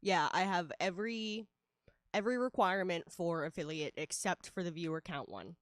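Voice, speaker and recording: A woman's voice: lowish voice, moderate pace, a bit bright, no room sound, clean studio sound. A woman livestreaming her art, talking casually with a nasal head cold and lots of fillers. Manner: chatty, laughs often, many fillers. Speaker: livestreaming artist. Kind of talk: casual streaming chat while drawing.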